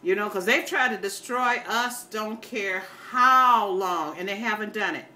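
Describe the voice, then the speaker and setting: Older American woman, sharp forceful voice, ranting. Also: medium pitch, a little slow, low-quality recording. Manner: blunt, scolding, emphatic, folksy. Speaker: online ranter, self-styled grandma. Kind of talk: impassioned off-the-cuff rant to viewers. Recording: noticeable background noise.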